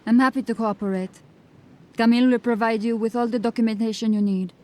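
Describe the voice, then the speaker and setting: A woman playing a cocky, smooth-talking female ship captain who is breezy and evasive with the law. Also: fairly high pitch, fairly even intonation, quick pace, natural voice. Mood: sly. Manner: breezy, evasive, gently mocking.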